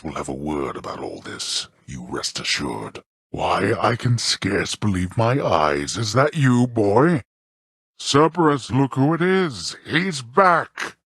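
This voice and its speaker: A man playing a stern, scornful old god of the underworld in a video game, speaking with pompous, archaic, disdainful diction and a very deep, gravelly voice. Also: a bit bright, no room sound, clean studio sound, heavily acted. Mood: irritated, imperious, then dry, haughty. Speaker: voice actor. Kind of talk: theatrical scripted video game character lines.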